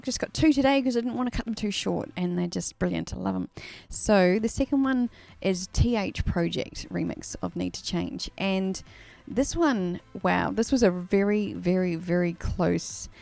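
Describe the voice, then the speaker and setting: A woman's voice: mid-range voice, creaky, a little mellow. A woman from New Zealand with a New Zealand accent tinged Australian, chatting casually on a live stream, laughing often and using many fillers. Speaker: singer hosting a live stream.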